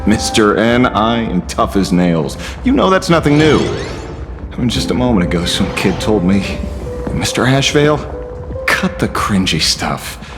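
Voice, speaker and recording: Gutsy swaggering game hero. Slowish, hugely expressive, over loud music. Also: male voice, on the low side. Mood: jaunty bravado. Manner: swaggering, theatrical. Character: cocky, gutsy adventurer sidekick with swaggering bravado. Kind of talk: video game voice acting.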